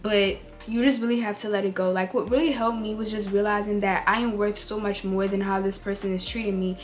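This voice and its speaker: A woman in her twenties with a raspy, rough voice from a cold, giving casual advice straight to her viewers. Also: medium pitch, steady tone, a little fast, faint music behind. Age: 20s. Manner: chatty, direct, addresses viewers as y'all and girl. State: hoarse from a cold. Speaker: beauty and lifestyle vlogger. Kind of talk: casual girl-talk advice.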